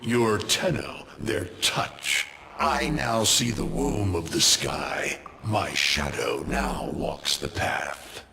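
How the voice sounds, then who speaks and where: An actor playing an ancient male sentient destroyer in a video game, speaking in slow, cryptic, menacing pronouncements. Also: low voice, creaky, a little hiss or hum, heavily acted. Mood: triumphant. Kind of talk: video game cutscene voice acting. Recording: reverberant with loud score.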